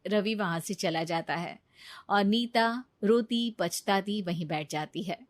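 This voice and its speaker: A woman's voice: mid-range voice, a little slow. A woman narrating a scripted moral story.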